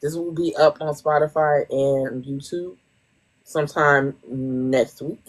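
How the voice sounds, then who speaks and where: A woman's voice: low-pitched, fairly even intonation, takes it slowly, rough recording. A woman hosting a casual podcast, blunt and playful in her reactions. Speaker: podcast host.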